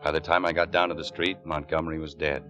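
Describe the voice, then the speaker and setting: An American man playing a world-weary police captain in a 1940s radio drama, narrating and questioning in a measured, dry way. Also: rumbling low, level tone, a bit bright. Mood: grim narration. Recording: dull restored 1940s radio recording, music.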